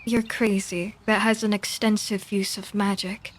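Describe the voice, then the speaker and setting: A woman's voice: mid-range voice, little pitch movement, a little slow, natural voice. Woman playing a stern, suspicious fox guard. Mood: distrustful. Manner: indignant, curt, distrustful delivery. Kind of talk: audio roleplay acting.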